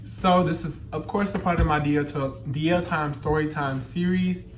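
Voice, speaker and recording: A man's voice: fairly high pitch, steady tone, normal speed. A man in his twenties with a Louisiana Southern accent tells a story in casual, slangy chat, with a feminine, flamboyant delivery and repeated phrases for emphasis. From Louisiana, United States. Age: 20s. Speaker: YouTube storyteller. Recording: roomy echo, music behind the voice.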